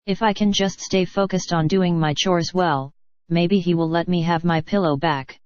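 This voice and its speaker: A female text-to-speech voice, a little low, narrates fiction in measured, stilted phrasing. The audio is very dry and slightly lacking in crispness. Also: darkish tone, no room sound, muffled recording. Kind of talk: scripted novel narration.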